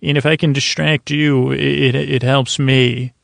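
A man with a creaky, gravelly voice hosting a sleep podcast, giving a halting, meandering, soothing bedtime monologue full of digressions. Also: mid-range voice, level tone, no room sound. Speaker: podcast host. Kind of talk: rambling bedtime monologue for falling asleep.

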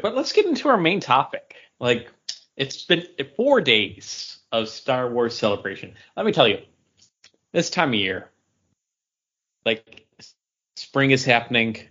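A man's voice: medium pitch, fairly bright tone. A man chatting casually and enthusiastically as a podcast host among friends. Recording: dull, lo-fi audio.

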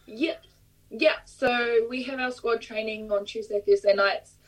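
A woman's voice: fairly high pitch, fairly even intonation, a little slow. Upbeat New Zealand woman, mic at a distance. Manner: upbeat and laughing easily. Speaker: rugby player guest. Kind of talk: remote interview.

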